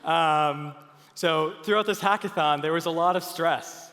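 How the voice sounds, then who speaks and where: A man's voice: on the high side, measured pace, a bit bright. Young man presenting in a reverberant hall. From Finland. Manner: earnest, frequent fillers and restarts. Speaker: product speaker at a conference. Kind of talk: conference stage presentation. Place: large conference hall.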